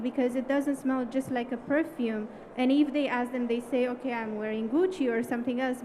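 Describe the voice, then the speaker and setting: Mellow-voiced woman describing rituals. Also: a little high, slight background noise, poor audio. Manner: enthusiastic, explains rituals step by step. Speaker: panelist. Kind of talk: conference panel talk. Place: conference stage.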